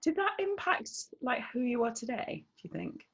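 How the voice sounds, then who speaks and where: Chatty lady podcast host, kinda husky, fairly high, laughs a lot. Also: very animated, moderate pace, fairly bright tone, lo-fi sound. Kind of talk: casual interview chat.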